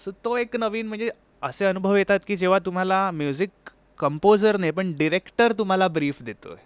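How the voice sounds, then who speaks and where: A man talks as an interview guest in a very clean, resonant voice pitched a little high. He explains things in a conversational, storytelling way and slips English words into his talk. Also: brisk, clear, resonant tone, no room sound. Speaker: interview guest, sitar player.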